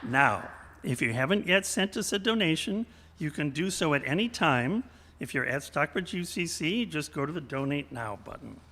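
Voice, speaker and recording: A man hosting a theatrical radio variety show in an animated, showmanlike way. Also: a little high, moderate pace, slight background noise. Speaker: host. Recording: echoey hall, long reverb.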